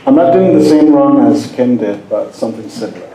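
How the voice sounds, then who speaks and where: A man's voice: medium pitch, animated, fairly quick, echoey room, a crowd in the background, poor audio. A man from Denmark with a Danish accent, presenting a live hypnosis seminar and joking with the audience. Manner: jokey, asks the audience questions.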